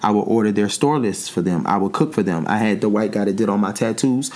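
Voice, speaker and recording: Animated, emphatic man in his twenties. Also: fast talker. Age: 20s. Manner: animated, emphatic, voice jumps high when excited. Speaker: guest. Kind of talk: casual interview storytelling.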